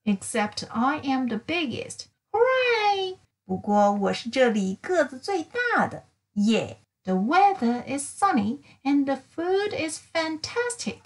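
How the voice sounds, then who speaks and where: A woman's voice: high-pitched, sing-song, slow pace, exaggerated voice. A woman voicing an eager, cheerful young male meerkat reading his letters home in a children's picture book. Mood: cheerful, excited.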